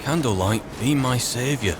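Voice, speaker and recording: A man's voice: mid-range voice, moderate pace, noisy background, lightly acted. A man playing a wry adventurer, musing aloud to himself and his companions as he roleplays a fantasy video game. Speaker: player roleplaying a game character on a let's play channel. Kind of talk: in-character improvised game narration.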